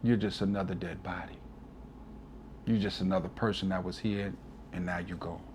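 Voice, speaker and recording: A man's voice: deep voice, fairly even intonation, moderate pace, darkish tone, a little hiss or hum. A man in his forties, a DJ guest on an interview, talking earnestly and from the heart, often adding "you know". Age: 40s. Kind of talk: casual reflective interview talk.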